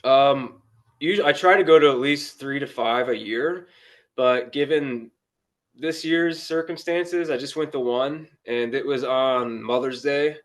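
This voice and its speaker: A man's voice: mid-range voice, a little slow. A man of about thirty-one chatting casually as a guest sports fan, with a rueful, self-deprecating humour. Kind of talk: casual chat.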